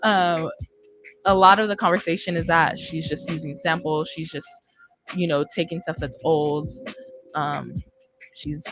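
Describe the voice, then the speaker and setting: A woman's voice: deep voice, fairly even intonation, a little slow, fairly bright tone, soft background music, rough recording. A young woman hosting a casual chat in an informal, loosely improvised way, close on a studio microphone. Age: young adult. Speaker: podcast host. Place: podcast studio, indoors. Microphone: close studio microphone on boom arm.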